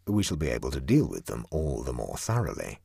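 A man voicing a calm, nonchalant young male prankster who talks in a dry, matter-of-fact way. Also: a bass voice, fairly quick, vocal fry, husky, dry recording, pitched below the performer's own voice, full character voice. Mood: cool, nonchalant.

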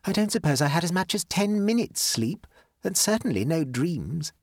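A man's voice: fairly high pitch, very animated, moderate pace, no room sound, clean studio sound, pitched above the performer's own voice. A man voicing a touchy, self-pitying young society woman in a storybook, with a plaintive, genteel and defensive manner. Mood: wounded, touchy. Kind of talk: audiobook character dialogue.

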